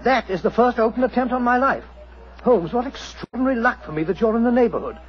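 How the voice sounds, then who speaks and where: An actor playing a genteel, placating English army major with a fluttery, hesitant manner, in 1940s radio style. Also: male voice, extremely high pitch, lively intonation, fast talker. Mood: anxious, confiding. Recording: muffled old radio drama, music.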